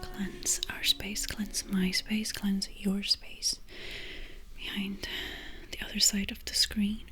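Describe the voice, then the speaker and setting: A woman whispering softly as she guides an ASMR energy-healing session in a loose, chatty way. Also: mid-range voice, little pitch movement, slow, faint music behind. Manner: soft unvoiced whisper, loose and chatty with many fillers. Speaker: energy healer and ASMR creator.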